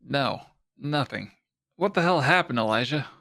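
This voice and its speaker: An actor playing a shaken, injured doctor in an audio drama, with anxious, urgent, demanding questions. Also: male voice, mid-range voice, lively intonation, moderate pace, creaky, pushed and bright. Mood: alarmed, confused.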